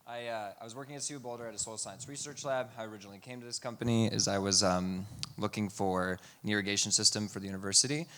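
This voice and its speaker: A man's voice: on the low side, steady tone, fast talker, few pauses, a bit bright. A man speaking casually at a conference, explaining his background with occasional fillers. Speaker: presenter. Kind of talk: conference talk. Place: conference room.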